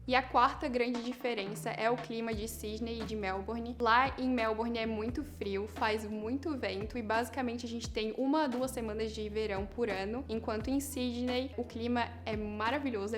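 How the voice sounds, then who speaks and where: A young woman from Brazil, chatting upbeat to her viewers in a casual vlog and stretching words for emphasis. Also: fairly high pitch. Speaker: vlogger. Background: background music.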